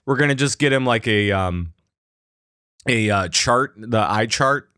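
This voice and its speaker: A man's voice: medium pitch, a little slow, sharp tone, no echo, clean studio sound. A man hosting a podcast, chatting casually about a game and rambling with many fillers and restarts. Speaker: podcast host. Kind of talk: casual gaming chat.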